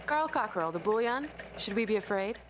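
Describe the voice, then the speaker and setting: A woman voicing a perky hen news anchor with a chirpy, high delivery. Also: expressive, brisk, background noise, natural voice.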